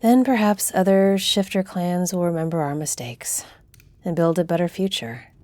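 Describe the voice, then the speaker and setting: A woman's voice: lowish voice, normal speed, darkish tone, dry recording, close to an everyday voice. A woman playing a solemn, fair-minded griffin-shifter council leader in a fantasy audio roleplay, speaking with formal authority.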